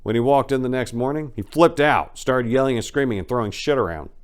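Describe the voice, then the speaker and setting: A man's voice: medium pitch, a little fast, clean tone. A man giving a dramatic reading of a first-person story as an audiobook narrator, in a colloquial, salty and emphatic way.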